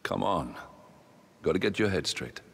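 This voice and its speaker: An actor playing a calm male comrade in a video game, a hallucinated voice, steady and urging. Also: sits low, measured pace, gravelly, husky, full character voice. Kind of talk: video game cutscene acting. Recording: long hall reverb.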